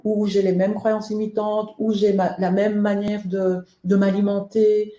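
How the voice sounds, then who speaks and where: A woman's voice: medium pitch, flat delivery, a little slow, warm tone, reverberant. A woman from Belgium, the guest author in a webinar interview, talking in a hesitant way with many fillers and restarts.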